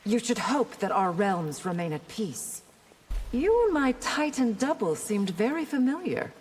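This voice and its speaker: Regal young warrior princess, proud and pointed, slightly high voice, long hall reverb. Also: female voice, big pitch swings, unhurried, a little mellow, a little hiss or hum. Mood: challenging. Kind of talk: video game voice acting.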